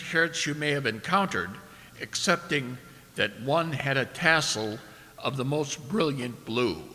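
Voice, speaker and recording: An actor playing a wry narrator of a space tale, giving deliberate, descriptive scripted radio narration. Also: male voice, mid-range voice, pushed and bright. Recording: echoey hall with long reverb.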